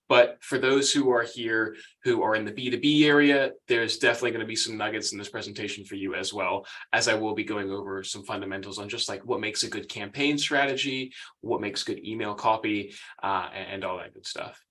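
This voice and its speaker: A man's voice: lowish voice, steady tone, brisk, hardly any pauses, bright, forceful tone. A young man with an American accent giving a chatty, upbeat webinar presentation as the guest speaker. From United States. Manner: upbeat, chatty, laughs often.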